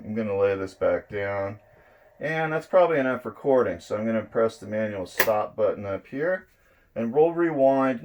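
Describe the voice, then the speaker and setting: Low-pitched man giving a demo. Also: darkish tone. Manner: unhurried, explains step by step. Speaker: presenter of electronics demo videos. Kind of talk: casual hands-on product demonstration. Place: indoors at a draped table.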